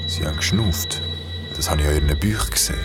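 A man's voice: very deep voice, fast. A frightened, urgent young boy. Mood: hushed unease. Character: frightened, brave young boy searching for his grandmother. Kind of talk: horror radio play acting. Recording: loud music underneath.

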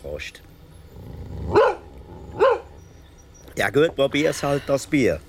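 A man's voice: mid-range voice, very animated, unhurried, a little hiss or hum. An actor playing a wistful, wry older Swiss man in a radio play, speaking reflectively with a Swiss accent. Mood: relaxed, teasing.

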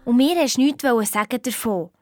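A woman's voice: on the high side, normal speed, no room sound, studio quality, natural voice. A woman playing a practical, sceptical young schoolgirl detective with a Swiss German accent, talking in a matter-of-fact, colloquial way. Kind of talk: children's radio play, colloquial retelling.

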